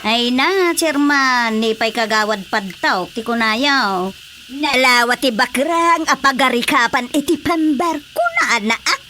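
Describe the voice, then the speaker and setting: An actress playing a forceful, gossipy village councilwoman in a comedy radio drama. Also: high-pitched, expressive, a little slow, slight background noise, heavily acted. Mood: complaining.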